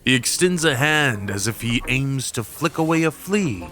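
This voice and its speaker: A man narrating a scripted dramatic story in the second person, with a measured, solemn manner. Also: mid-range voice, lively intonation. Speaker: narrator and voice performer. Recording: prominent music under the voice. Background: dramatic background music.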